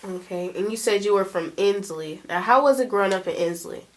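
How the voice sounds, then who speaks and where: A woman's voice: mid-range voice, brisk. A woman interviewer asking brief, plain questions.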